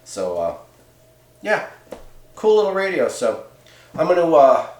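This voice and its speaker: A man's voice: fairly high pitch, sing-song, unhurried, darkish tone, slight background noise. A man giving a casual, rambling, off-the-cuff product review in a laid-back, colloquial way. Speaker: host of CB radio review videos. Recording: echoey room sound.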